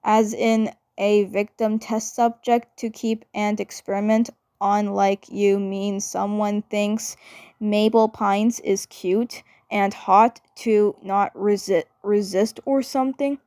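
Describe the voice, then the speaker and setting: A woman voicing a teasing, laid-back teenage brother in a fan dub, halting and repeating phrases. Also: medium pitch, steady tone, mellow. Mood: suspicious, probing.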